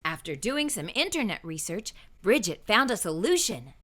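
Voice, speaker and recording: A woman reading a children's story aloud as an expressive narrator. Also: medium pitch, normal speed. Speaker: children's book reader.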